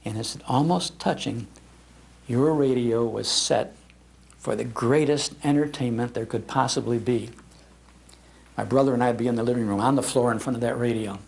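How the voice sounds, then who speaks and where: An older American man with a Midwestern accent tells nostalgic stories to a live audience in a folksy, animated manner, repeating phrases for emphasis. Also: mid-range voice, normal speed, creaky. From Chicago, United States. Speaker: speaker giving a talk on old-time radio. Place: public library, live talk.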